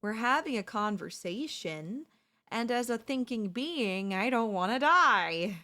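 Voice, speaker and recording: A woman's voice: fairly high pitch, expressive, a little slow, bright, forceful tone. A woman voicing a sly, chatty female zombie in a comedic audio roleplay, coaxing and reasoning in a casual, conversational way. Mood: nervous persuasion.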